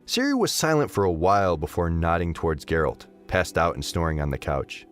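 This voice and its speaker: Male narrator, deep and very varied in pitch, expressive scripted storytelling over background music. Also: brisk.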